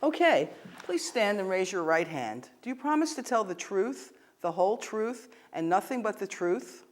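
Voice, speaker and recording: A woman reads scripted interview questions in a formal, polite manner. Her voice is mid-pitched and moves lively through each question. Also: normal speed. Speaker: mock interviewer. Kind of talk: scripted role-play dialogue.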